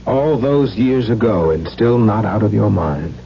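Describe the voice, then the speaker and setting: A blunt, hearty gentleman bachelor talks frankly in a 1970s radio play. His pitch moves animatedly. The old recording is dull, with a slight hum. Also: lively intonation, a little slow, creaky. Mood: challenging. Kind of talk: 1970s radio drama acting. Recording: dull vintage radio broadcast, faint hum.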